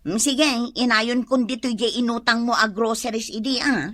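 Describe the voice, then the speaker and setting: An actress playing a businesslike, matter-of-fact village moneylender in a radio drama, with an Ilocano Filipino accent. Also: female voice, on the high side, normal speed, a bit bright, dry recording, studio quality.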